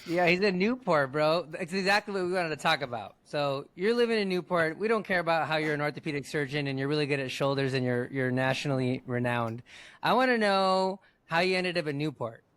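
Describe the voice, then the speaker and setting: A man hosting a podcast, introducing a guest in a relaxed way and chuckling often. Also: a little high, fairly quick, no echo. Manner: laid-back, chuckles between lines. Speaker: podcast host. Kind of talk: casual podcast chat.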